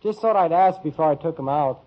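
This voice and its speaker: An American actor playing a brash, nosy teenage son in an old-time radio sitcom, with an offhand, slangy manner. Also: male voice, fairly high pitch, fairly quick, natural voice. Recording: dull vintage radio recording.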